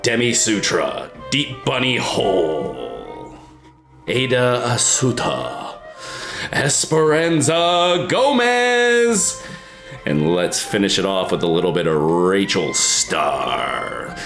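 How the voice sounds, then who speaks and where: A man playing a grandiose beauty pageant crooner in a comedy bit, drawing words out slowly and half singing over music. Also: fairly low pitch, big pitch swings, gravelly, fairly bright tone, reverberant, full character voice. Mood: grand, celebratory. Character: grandiose male beauty pageant crooner reciting a list of names. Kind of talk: slow celebratory announcing over music, half sung.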